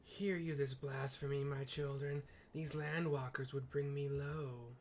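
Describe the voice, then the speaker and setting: Man voicing an imperious winged wind goddess, unhurried. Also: mid-range voice, steady tone, lightly acted. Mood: scornful. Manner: haughty, archaic, theatrical. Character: imperious, cruel winged female wind deity, huge.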